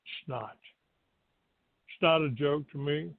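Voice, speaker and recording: An older American man from Texas hosting a talk show, giving a rambling, halting monologue to his listeners. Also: a little high, vocal fry. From Texas, United States. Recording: roomy, tinny phone-like line.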